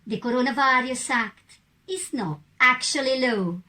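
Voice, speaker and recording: A woman playing a defiant citizen who makes firm, deliberate statements in a scripted puppet show. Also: high-pitched, pushed and bright.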